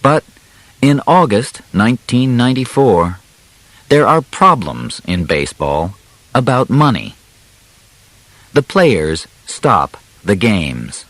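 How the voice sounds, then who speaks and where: Male audiobook narrator, lowish voice with lively intonation, measured short phrases, simple scripted read. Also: no echo, poor audio.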